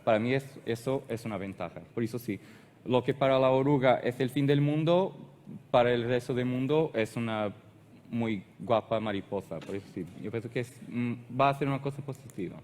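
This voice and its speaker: A man's voice: medium pitch, a little mellow, slight background noise. Portuguese-accented man lecturing in a hall. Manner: halting, searches for words and restarts often. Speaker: conference speaker. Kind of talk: technical conference presentation.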